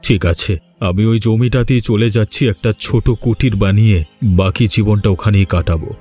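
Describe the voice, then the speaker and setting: A man's voice: lowish voice, fairly quick, darkish tone, faint music behind, lightly acted. A man voicing a remorseful, humbled rich village landlord in a cartoon, speaking solemnly and confessionally. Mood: humbled, resolute. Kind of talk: Bengali cartoon voice acting.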